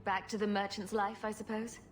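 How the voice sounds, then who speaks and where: An actress playing a sarcastic young rogue heroine in a video game, dry and teasing. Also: female voice, mid-range voice, level tone, brisk, faint music behind, lightly acted. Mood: curious, wry. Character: wry, sarcastic young woman rogue hero. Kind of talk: video game character acting.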